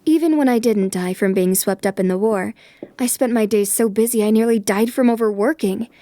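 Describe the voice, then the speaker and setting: Woman as a high-voiced, composed young noblewoman. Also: brisk, mellow, lightly acted. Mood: reflective. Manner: polite, reflective. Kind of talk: anime dub acting with inner narration.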